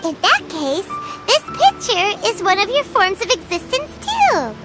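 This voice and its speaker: A woman's voice: very high-pitched, wildly swooping pitch, a little slow, music in the background, full character voice. A woman voicing a tiny, cheerful, childlike fairy sidekick in a video game, with a chirpy manner. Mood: curious. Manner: chirpy, talks of herself in third person. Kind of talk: animated video game dialogue.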